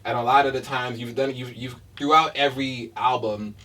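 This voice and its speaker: A man's voice: medium pitch, steady tone, moderate pace, sharp tone, recorded from a distance, a little hiss or hum. A man interviewing a guest in a casual, slangy, laid-back way, often laughing.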